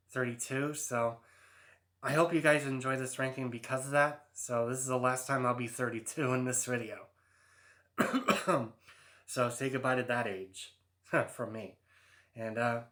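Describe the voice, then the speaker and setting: A man's voice: medium pitch, fairly bright tone. A man in his early thirties, hosting a casual album ranking, rambling and hesitant with many fillers and restarts. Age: 30s. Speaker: YouTube host.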